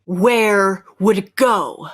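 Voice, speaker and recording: A woman playing a knowing, imperious rebel captor who speaks deliberately and probingly in a radio sci-fi drama. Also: mid-range voice. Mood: insistent. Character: commanding, knowing rebel captor challenging orthodox belief. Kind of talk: cold-read old-time radio sci-fi drama.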